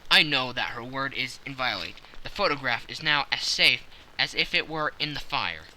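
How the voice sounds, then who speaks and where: A man voicing a proud, excitable king who talks in loud exclamations. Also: mid-range voice, moderate pace, slight background noise, voice pushed down, poor audio. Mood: grateful. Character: proud, excitable middle-aged male king.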